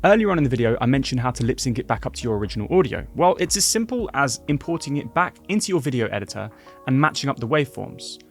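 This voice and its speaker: A man giving clear, brisk instructions as a software tutorial host. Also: medium pitch, sing-song, faint music behind. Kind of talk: scripted tutorial narration.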